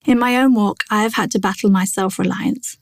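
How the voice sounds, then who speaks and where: A woman reading a scripted devotional in a measured, reflective manner. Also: medium pitch, fairly even intonation, creaky, no room sound, studio quality. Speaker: devotional reader.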